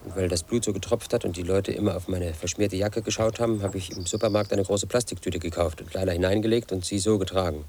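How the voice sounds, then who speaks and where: Paranoid loner in his 30s, low voice, flat and brooding, radio play monologue. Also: fast talker, few pauses, a little hiss or hum. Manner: flat, brooding, quietly obsessive. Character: brooding paranoid man in his late thirties. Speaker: narrator of his own story.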